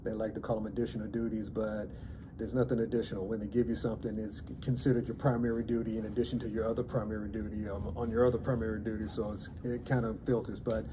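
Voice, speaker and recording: A man's voice: lowish voice, noisy background. A man from Alabama, a former Army Signal Corps officer, talking in a chatty, wry way with frequent ums. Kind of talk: recorded personal interview.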